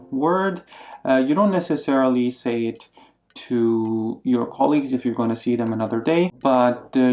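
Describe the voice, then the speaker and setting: A young man giving casual, upbeat language tips, now and then acting out greetings with big jumps in pitch. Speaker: language learning vlogger. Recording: soft music underneath.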